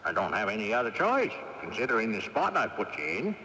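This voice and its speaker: An actor playing a proud, crafty old ghost with a theatrical, indignant manner in a radio drama. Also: male voice, lowish voice, wildly swooping pitch, a little fast, pushed and bright, full character voice. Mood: grudging, haughty. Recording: noisy AM radio tape, long reverb.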